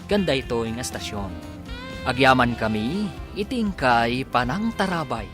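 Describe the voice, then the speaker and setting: A man's voice: mid-range voice, animated, measured pace. A man with an Ilocano accent delivering scripted, dramatic narration as a radio drama announcer. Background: prominent music.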